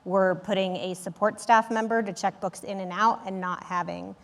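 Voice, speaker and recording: Mid-pitched woman panelist explaining. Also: steady tone, normal speed, gravelly, fairly bright tone. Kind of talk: panel discussion, explanatory talk.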